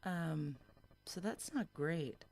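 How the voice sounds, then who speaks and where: A woman's voice: deep voice, animated, slow pace, a little mellow, a little hiss or hum. An American woman chatting casually and unscripted as a livestream commentator, in a hesitant, rambling way. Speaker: livestream host commenting on police scanner.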